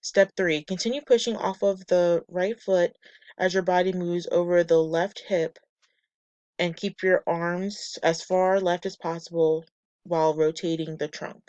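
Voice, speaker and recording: A woman presenting a class lesson from slides, a bit nasal and raspy from a cold, reading steps and lists in a measured way. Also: fairly low pitch, fairly even intonation, darkish tone, no echo, highs cut off. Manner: measured, reads steps and muscle lists methodically. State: getting over a cold, slightly nasal and raspy. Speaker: student presenter.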